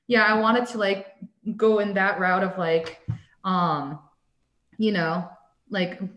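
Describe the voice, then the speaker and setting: A woman's voice: mid-range voice, a bit bright. A young woman from the United States, a guest artist chatting casually in an interview, rambling with lots of fillers and laughing often. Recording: echoey room.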